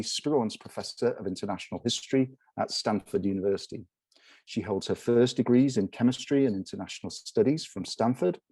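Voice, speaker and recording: A man with a fairly low, mellow voice reads a formal introduction for a guest speaker. He is measured and slows down over titles. The sound is dry and close. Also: no echo, low-quality recording. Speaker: event host introducing a lecturer.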